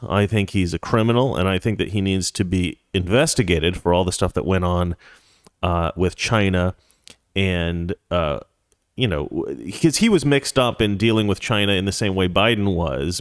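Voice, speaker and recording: A man's voice: low-pitched, no echo, studio quality. A man hosting a talk show, giving casual political commentary in a rambling, conversational way that drifts into anecdotes.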